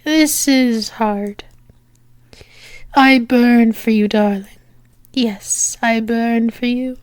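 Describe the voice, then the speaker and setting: A woman playing a teasing, lovestruck female demon in an intimate ASMR roleplay, speaking haltingly with fond endearments. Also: fairly high pitch, steady tone, clean tone, dry recording, clean studio sound. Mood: nervous, earnest.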